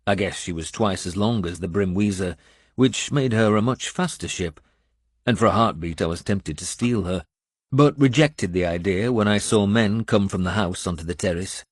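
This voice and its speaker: A man reading a scripted first-person audiobook in a measured storytelling manner. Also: fairly low pitch, breathy edge, no room sound, studio quality. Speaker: audiobook narrator.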